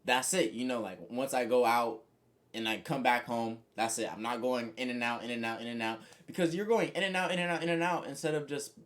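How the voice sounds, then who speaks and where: A young man talking casually and reflectively to his viewers, rambling with lots of "you know" and "like", in a voice he calls monotone. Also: mid-range voice, a little fast. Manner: says he has a monotone voice. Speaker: vlogger. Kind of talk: casual reflective monologue to camera.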